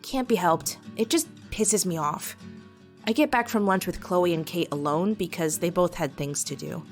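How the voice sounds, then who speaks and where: Woman voicing a sardonic teenage girl, slightly low voice, loyal, emotive journal narration, comic dub drama, guitar music behind. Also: normal speed, lightly acted. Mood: frustrated. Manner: wry, sarcastic, emotive. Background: soft guitar music.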